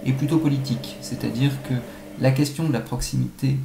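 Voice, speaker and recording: A man giving measured, analytical answers as an academic expert being interviewed, with some halting phrasing. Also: medium pitch, steady tone, warm tone, faint music behind. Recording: echoey room, faint-to-clear background noise.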